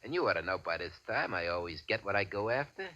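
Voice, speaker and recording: An actor playing a cocky, greedy American racketeer who talks in boastful gangster slang, in the style of 1940s radio crime drama. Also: male voice, sits low, expressive, quick pace, bright, forceful tone. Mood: smug, domineering. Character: cocky, greedy small-time racketeer man with a swaggering streak. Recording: dull, band-limited old radio recording.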